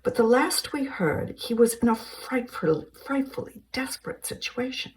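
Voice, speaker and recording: A woman voicing a polite middle-aged businesswoman, speaking in a breathy, genteel way. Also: fairly high pitch, lively intonation, normal speed, far from the mic, voice pushed up, natural voice, poor audio. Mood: anxious polite.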